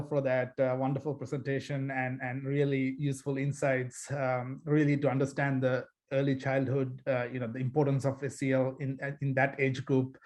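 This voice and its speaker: A middle-aged man from Sri Lanka speaking on a panel over a video call, measured, with many fillers and restarts. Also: medium pitch. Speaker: nonprofit founder, panel speaker. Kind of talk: panel discussion talk.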